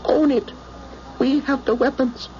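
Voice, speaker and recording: Slow, domineering wounded alien. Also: male voice, extremely high pitch, fairly even intonation, a little mellow. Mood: fevered, commanding. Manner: halting, intense, theatrical. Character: wounded, dying male alien pilot, domineering and power-hungry. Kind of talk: radio drama acting. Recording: dull, noisy old radio broadcast recording.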